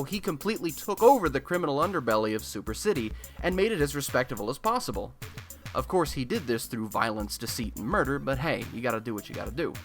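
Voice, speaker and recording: Casting: male actor as cocky superhero-nerd radio host, bright and very animated, chatty sarcasm, music underneath. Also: mid-range voice. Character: nerdy, cocky young man hosting a superhero-city broadcast. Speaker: broadcast host. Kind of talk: casual radio-show monologue in a scripted audio drama.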